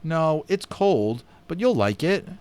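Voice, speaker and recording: A man's voice: fairly high pitch, very animated, slow, a little mellow, pitched above the performer's own voice. A man voicing an eager, curious young boy in a storybook, speaking with friendly enthusiasm. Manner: enthusiastic, earnest.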